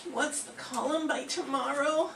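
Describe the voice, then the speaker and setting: An older woman reading the part of a naive, scatterbrained young woman in a radio sitcom, slow and literal-minded, recorded from far off in a roomy classroom. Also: sits high, steady tone, vocal fry, fairly bright tone, slight background noise. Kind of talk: 1940s radio sitcom acting.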